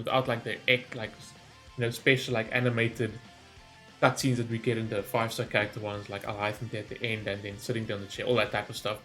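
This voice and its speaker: A man giving casual, opinionated gaming reaction commentary, talking in a rambling, enthusiastic way as a video host. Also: mid-range voice.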